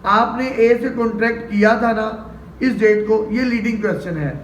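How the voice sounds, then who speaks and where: Male lecturer, Pakistani accent, high register, didactic explainer delivery; recorded off-mic in a reverberant room. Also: moderate pace. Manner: didactic, poses questions and answers them. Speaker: law lecturer. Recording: roomy, distant mic, faint noise.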